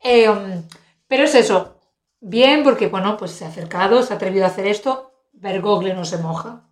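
Mujer española animada en sala con eco. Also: female voice, mid-range voice, normal speed. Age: 30s. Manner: animated, exclamatory, laughs often. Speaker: podcast co-host. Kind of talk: casual chat. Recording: roomy echo.

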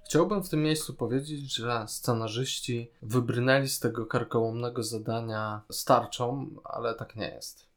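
A man's voice: medium pitch, fairly even intonation, unhurried. A man co-hosting a casual podcast discussion, giving opinionated, critical commentary. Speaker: podcast co-host.

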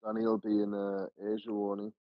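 Low-voiced, hesitant man chatting sports. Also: monotone, brisk, dry recording. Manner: hesitant, many ums and chuckles. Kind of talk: casual sports chat. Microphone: online call. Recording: dull, dry online call audio.

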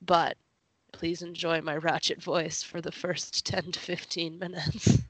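A young woman co-hosting a podcast in casual conversation, with a gravelly morning voice and an informal, chatty way of talking. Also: fairly low pitch, little pitch movement, a little slow, no echo, poor audio. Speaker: podcast co-host. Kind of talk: casual chat.

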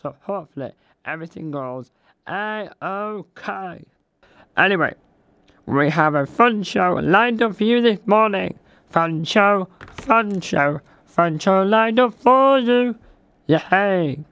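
A man's voice: high-pitched, animated, slow, fairly bright tone, clear, resonant tone, dry recording, voice pushed up, heavily acted. A man doing a chirpy, nervous old radio DJ. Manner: nervous, stumbling, self-correcting. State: losing his voice. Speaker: radio host. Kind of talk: comic radio show parody.

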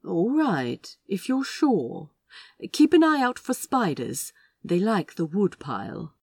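A woman voices a kindly, fussy gentleman in an audio drama, proper and soft-hearted. The speech is slow and husky, in a close, dry sound. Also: medium pitch, very animated, no room sound, exaggerated voice. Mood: mild, agreeable. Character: gentle, fussy, kindly man soothing a frightened animal. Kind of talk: audio drama acting in fan fiction.

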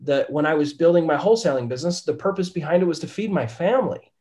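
A man, a real estate investor and podcast guest, telling his story candidly in a casual interview, his voice raspy from illness. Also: a little high, animated, fast talker, a little mellow. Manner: candid, says "right?" often.

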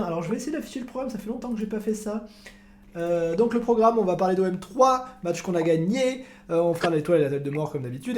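Rambling podcast host, upbeat. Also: male voice, on the high side, darkish tone, a little hiss or hum. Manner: rambling, repeats words, jokey. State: recovering from illness. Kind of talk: casual chat.

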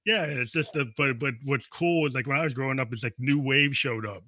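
Male host, fifties, bright tense tone, loose rambling interview style. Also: mid-range voice, fairly quick, roomy sound. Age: 50s. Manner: rambling, restarts often, laughs easily. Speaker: radio show host. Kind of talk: casual interview chat.

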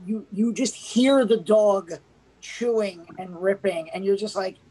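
A woman's voice: medium pitch, unhurried, dark tone, husky, rough recording. A woman chats about a film on a podcast in a loose, rambling way. Her sentences keep restarting and are packed with "like"s. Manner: rambling, full of "like"s, laughs. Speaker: podcast co-host. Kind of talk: casual movie review chat.

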